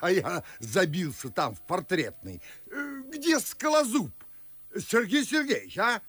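An actor playing a fussy, fawning older gentleman host, effusive and theatrical, in a radio comedy. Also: high-pitched, huge pitch swings, a little slow, breathy edge, soft background music, exaggerated voice. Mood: flustered. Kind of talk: classic stage comedy acting for radio.

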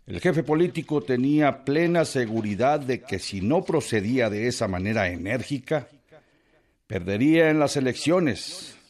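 Casting: male actor as grave Mexican correspondent, mid-pitched, formal and measured, voicing a written denunciation for radio drama. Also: expressive, a little mellow, natural voice. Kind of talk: radio drama letter reading.

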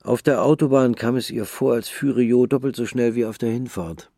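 A man narrating a literary radio drama in a calm, measured, subdued reading. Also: medium pitch, gravelly, no room sound, clean studio sound.

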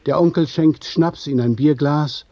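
A man's voice: on the high side, unhurried, mellow, no echo. A man narrating a scripted radio play in vivid literary prose. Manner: literary storytelling in vivid present tense.

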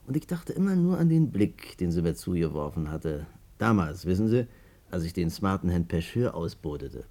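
An actor playing a chatty, plain-spoken, good-hearted barber in a colloquial, familiar manner in a 1950s German radio play. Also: male voice, sits low, very animated, normal speed, dark tone, full character voice. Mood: confiding.

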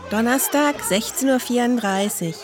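A woman's voice: fairly high pitch, normal speed, music in the background, crowd noise. A woman announcer reading scripted, factual statements of time and place.

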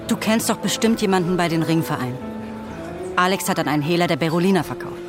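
A woman's voice: lowish voice, animated, fast talker, over music, lightly acted. An actress playing a determined, reproachful young woman in a radio drama, speaking in a pointed, insistent way.

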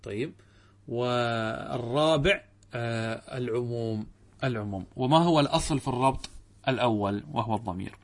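A man's voice: mid-range voice, moderate pace, pauses often. A man teaching Arabic grammar to students, posing questions to the class and answering them himself, reciting quoted text. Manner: addresses students directly, checks understanding.

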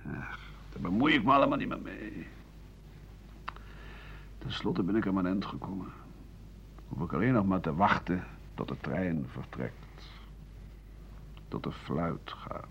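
A man playing a weary, embittered writer of 67 in a radio play, grumbling in halting phrases that trail into breathy murmurs. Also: sits low, lively intonation, full character voice. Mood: resigned. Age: older. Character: embittered, weary 67-year-old French writer and doctor, pessimistic. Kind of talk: radio play monologue as interview answers. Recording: dull, hissy 1980 radio recording.

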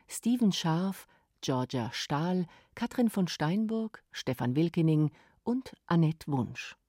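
Female radio announcer: deep, husky, unhurried, measured. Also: warm tone, dry recording, clean studio sound.

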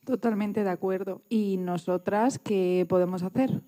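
A woman hosts an interview in a conversational style. Her voice sits at a medium pitch and has a soft, dark, relaxed tone. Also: a little slow. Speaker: interviewer, program host.

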